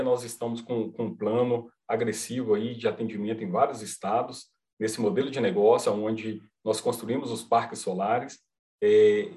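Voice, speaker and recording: A man from Minas Gerais with a mineiro accent, calmly explaining a service as a podcast guest, checking in with "tá?". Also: medium pitch. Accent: Mineiro Brazilian Portuguese. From Minas Gerais, Brazil. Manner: patient, reassuring explainer, many "tá?" checks. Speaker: company representative guest. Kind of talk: podcast interview, explaining a product.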